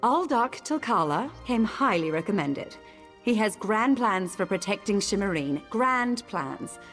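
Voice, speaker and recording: A proud elf noblewoman speaks with lively, rising and falling pitch that carries her imperious indignation. Her voice sits at a middle pitch. Faint game music plays behind. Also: unhurried. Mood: indignant. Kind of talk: video game voice acting.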